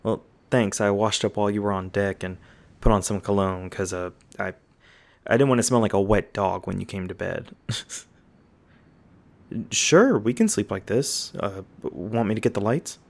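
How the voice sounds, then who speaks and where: Man voicing a bashful, low-voiced young werewolf jock. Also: normal speed, gravelly. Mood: shy, cosy.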